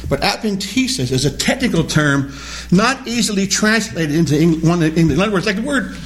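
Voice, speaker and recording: A man teaching a Bible study class, animated and questioning, repeating key words as he explains them. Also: sits high, a little fast. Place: classroom or church hall with a small group.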